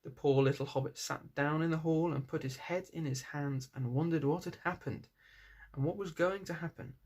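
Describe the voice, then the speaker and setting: Creaky-voiced male storyteller. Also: fairly high pitch, darkish tone. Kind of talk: story narration read aloud.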